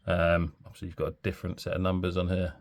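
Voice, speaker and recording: Deep-voiced man with a cold. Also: completely flat delivery, fast. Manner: chatty and rambling, with many ums and self-corrections. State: nursing a cold, a bit throaty and bunged up. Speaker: fountain pen reviewer. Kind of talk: casual product review.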